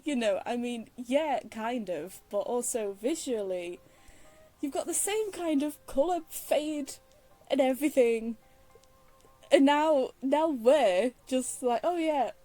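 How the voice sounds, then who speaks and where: A woman's voice: high voice, warm tone. A woman giving casual, rambling playthrough commentary in a teasing, exasperated, giggly manner. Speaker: streamer playing a story game.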